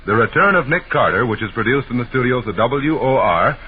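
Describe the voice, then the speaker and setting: A man's voice: medium pitch, lively intonation, fairly quick, husky, phone-quality sound. An American man reading scripted announcements as a 1940s radio announcer, in a formal, dramatic delivery. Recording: vintage band-limited radio broadcast, music behind. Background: loud music.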